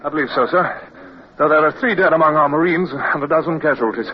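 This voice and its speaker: An actor playing an earnest, modest young British naval lieutenant in an old radio drama, deferential, formal and a little hesitant. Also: male voice, fairly high pitch, quick pace, lightly acted. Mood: respectful, urgent. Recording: dull, narrow-band old radio recording.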